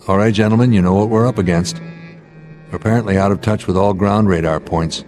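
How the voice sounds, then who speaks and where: Man acting a low-voiced, steady airline captain. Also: fairly quick, close to an everyday voice. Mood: grave, resolute. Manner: calm, commanding, clipped. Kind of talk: old-time radio drama acting. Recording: dramatic music underneath.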